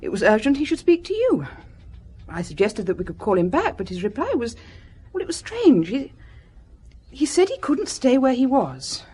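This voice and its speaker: An actress playing a prim, anxious, conscientious British secretary in a radio drama, formal and earnest. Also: female voice, sits high, wildly swooping pitch, normal speed, dark tone, breathy edge, full character voice.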